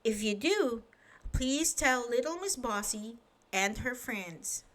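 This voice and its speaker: A woman reading a children's story aloud at bedtime, lively and expressive, pausing to ask the listener questions. Her voice sits a little high and she takes an unhurried, slow pace.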